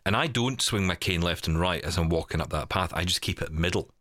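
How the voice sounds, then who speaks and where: A man's voice: low voice, fairly quick, a bit bright, dry recording, studio quality. A man chatting casually as a podcast host, candid and a little hesitant, often repeating his words. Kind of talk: casual chat.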